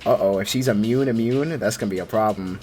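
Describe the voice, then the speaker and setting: A man's voice: on the low side, quick pace, a little mellow. A young man giving casual, slangy, reactive commentary on a game he is playing, with some swearing. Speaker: gaming streamer. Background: game music.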